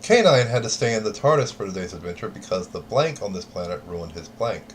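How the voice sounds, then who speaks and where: Man, lowish voice, deadpan card reader, casual game chat, light hiss. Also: a little fast. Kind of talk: casual chat, reading cards aloud.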